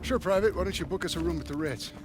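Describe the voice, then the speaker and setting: An actor playing a hard, sarcastic army sergeant in a war game, curt and biting. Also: male voice, high-pitched, quick pace, natural voice. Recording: music behind.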